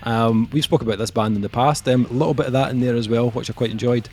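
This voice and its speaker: Man halting podcast reviewer. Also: mid-range voice, darkish tone, slight background noise. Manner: halting, lots of ums, jokey self-mockery. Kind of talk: casual review chat.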